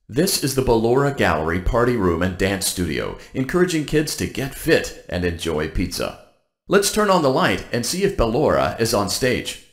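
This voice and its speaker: A man playing a blandly polite, cheerful robotic workplace guide in a game, reading scripted announcements with upbeat corporate formality and deadpan delivery. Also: lowish voice, reverberant.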